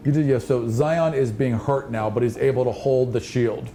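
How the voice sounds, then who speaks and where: A man's voice: medium pitch, normal speed, mellow. A man running a tabletop roleplaying game, narrating scenes off the cuff in a conversational, vivid way. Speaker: game master. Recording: noisy room ambience behind voice.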